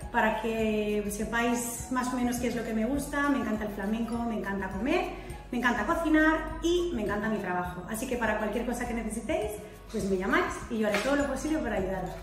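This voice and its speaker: A woman's voice: a little high, expressive, fast, very echoey, music in the background. A woman in her thirties from Spain, works as school registrar and introduces herself cheerfully. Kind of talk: self-introduction to camera. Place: school office.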